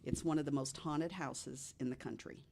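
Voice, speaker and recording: A low-voiced woman recounts tales in a conversational podcast style. She phrases things deliberately and leans on key words for emphasis. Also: fairly even intonation, gravelly, warm tone. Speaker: podcast guest storyteller. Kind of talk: conversational storytelling of ghost stories.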